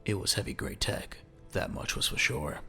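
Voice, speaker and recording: A man narrating a scripted audio drama in a measured, dramatic storytelling manner. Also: pushed and bright, faint music behind.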